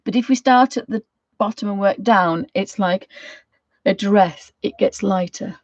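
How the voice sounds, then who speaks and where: A middle-aged British woman with a slightly croaky voice, giving a casual craft tutorial in a rambling, homely way, thinking aloud as she goes. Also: medium pitch, a little slow, no echo, lo-fi sound. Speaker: watercolour craft tutor hosting a live session.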